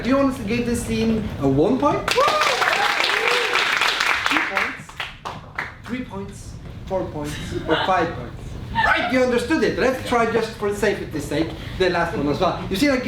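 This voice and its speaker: A man hosts a theatre show, explaining the rules with lots of "uh"s and small self-conscious laughs. His voice sits mid-range and comes from a distance across a reverberant hall. Audience noise fills the room around him. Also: high voice. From Norway. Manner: hesitant, many fillers, laughs at himself. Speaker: show host. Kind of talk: live stage introduction to an audience. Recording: echoey hall, miked from far.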